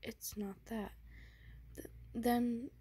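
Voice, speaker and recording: A woman voicing an anxious, tender young boyfriend in a whispered romance roleplay, speaking softly with nervous stammers. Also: on the high side, little pitch movement, very slow, husky, pitched above the performer's own voice, heavily acted. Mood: worried pleading.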